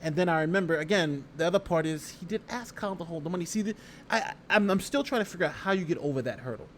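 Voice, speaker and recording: Man, somewhat high pitch, livestreaming lawyer, casual document read-through, emphatic repetition, talks to viewers, light background noise. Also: expressive, fast. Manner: conversational, emphatic, repeats phrases and talks directly to viewers. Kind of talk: casual live legal commentary, reading a document aloud and reacting.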